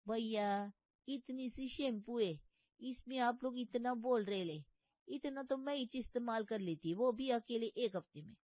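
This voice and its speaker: A woman acts out a scheming, mouthy housemaid from a comic cartoon story. Her voice sits fairly high and her speech is slangy and coaxing. The track is very dry and slightly dull. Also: unhurried, no echo, natural voice. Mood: indignant. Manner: street-slang, ungrammatical, wheedling talk. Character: cheeky, scheming housemaid who talks back.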